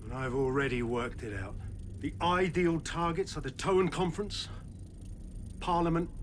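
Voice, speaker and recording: An actor playing a ruthless, scheming private military boss in a video game cutscene, curt and commanding. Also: male voice, on the high side, moderate pace, natural voice. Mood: cold, conspiratorial. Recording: noisy background ambience.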